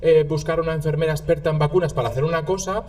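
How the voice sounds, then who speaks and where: A man hosting an interview podcast, talking in a quick, conversational way with frequent tag questions. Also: fairly high pitch, steady tone, darkish tone. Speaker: podcast host. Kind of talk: casual interview chat.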